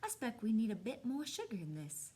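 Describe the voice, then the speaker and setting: Storybook grandmother, acted by a woman: Southern US accent, kindly, teasing, folksy, husky, very animated melody. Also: fairly high pitch, brisk, full character voice. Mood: gentle coaching. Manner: folksy, homespun, coaxing. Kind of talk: storybook read-aloud.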